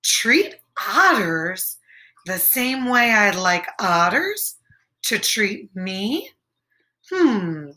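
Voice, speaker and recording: A woman's voice: high voice, sharp tone, heavily acted. Woman voicing a fretful, fussy male storybook rabbit. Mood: puzzled, pondering. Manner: hesitant, questioning, pondering aloud. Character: worried, fussy male rabbit wary of new neighbors. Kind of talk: picture-book read-aloud for children.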